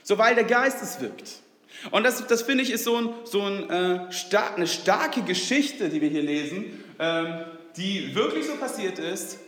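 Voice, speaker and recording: Male preacher, Swedish native speaker, high-ish voice, conversational sermon with lots of fillers; recorded in a strongly echoing hall. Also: expressive, measured pace, a bit bright. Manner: chatty, many fillers and tag questions. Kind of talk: informal sermon. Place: church hall. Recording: roomy hall reverb.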